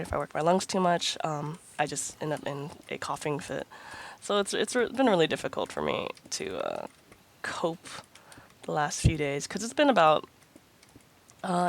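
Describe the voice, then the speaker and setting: Congested low-voiced woman gaming host. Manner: rambling, with frequent ums and restarts. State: congested, recovering from a cold. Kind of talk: casual gameplay commentary.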